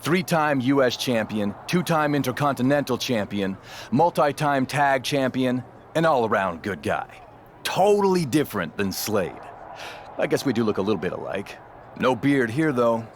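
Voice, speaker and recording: An actor playing a boastful veteran wrestler in a video game, with theatrical, emphatic delivery and drawn-out phrases. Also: male voice, medium pitch, animated, a little hiss or hum, natural voice. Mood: cheerfully cocky. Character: boastful veteran male wrestler with a cheery, wide-eyed alter ego. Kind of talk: scripted video game cutscene acting.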